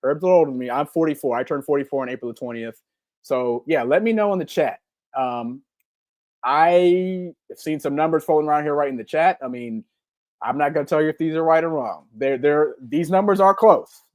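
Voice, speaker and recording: A man's voice: on the high side, brisk, a little mellow, dry recording. Upbeat rambling man in his forties. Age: 40s. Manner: rambling and upbeat, full of ums and repeats. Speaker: podcast co-host. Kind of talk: casual live-stream chat reading chat comments.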